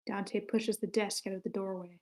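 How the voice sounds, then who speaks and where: A woman's voice: medium pitch, steady tone, normal speed, darkish tone. A woman narrating the stage directions of a screenplay table read in short, matter-of-fact sentences.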